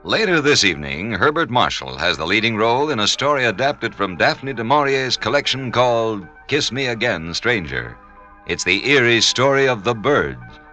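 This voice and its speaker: An American male announcer reads promos in 1950s network radio style over faint music. His voice is slightly low and bright, with animated intonation.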